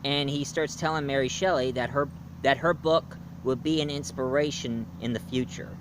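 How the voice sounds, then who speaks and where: A man's voice: medium pitch, steady tone, moderate pace, noisy background. A man reviewing a movie in a casual, rambling way, with fillers and restarts. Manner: rambling, loose, with fillers and self-corrections.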